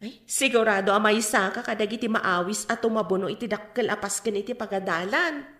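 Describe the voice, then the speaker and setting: An actress playing a chatty woman friend, coaxing and expressive, in a radio drama. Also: mid-range voice, fairly quick, lightly acted. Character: chatty, nudging woman, the mother's close friend. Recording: roomy, echoing drama recording.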